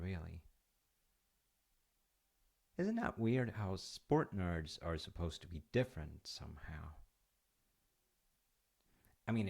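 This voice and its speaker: Slow, deep, gentle dad played by a man. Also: heavily acted. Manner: hesitant, conversational, soothing. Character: gentle, reassuring, slightly goofy dad comforting his child. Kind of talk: intimate roleplay monologue with storytelling.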